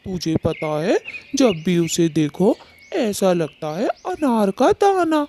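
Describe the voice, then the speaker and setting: A woman's voice: medium pitch, big pitch swings, takes it slowly, dark tone, slight background noise. A woman voicing a vain, lecherous male bird in a Hindi cartoon, swaggering and taunting as he talks. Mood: mocking. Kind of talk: Hindi cartoon moral story dialogue.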